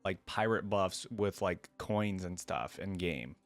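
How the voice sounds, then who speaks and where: A man's voice: low voice, lively intonation, takes it slowly. A man hosting a reaction video, giving casual commentary and rambling as he thinks aloud.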